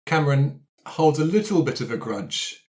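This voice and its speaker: A man's voice: on the high side, animated, moderate pace, darkish tone. A man chatting casually as a player in a tabletop roleplaying show, improvising backstory. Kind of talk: casual improvised game discussion.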